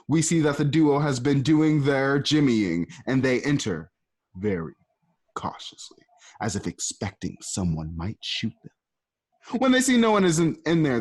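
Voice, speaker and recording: Animated, husky male narrator. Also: lowish voice. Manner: casual, animated, adds asides. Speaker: narrator reading stage directions. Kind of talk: radio play narration.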